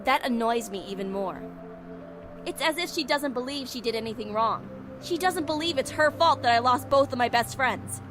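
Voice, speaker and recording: A woman's voice: high voice, animated, moderate pace. A woman voicing a guarded, proud but hurt tsundere schoolgirl in a visual novel game. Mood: resentful. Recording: music behind the voice.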